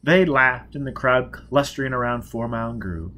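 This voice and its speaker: A man in his thirties reading a novel aloud as an expressive narrator. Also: mid-range voice, normal speed. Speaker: audiobook reader. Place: small indoor space with a dark curtain backdrop.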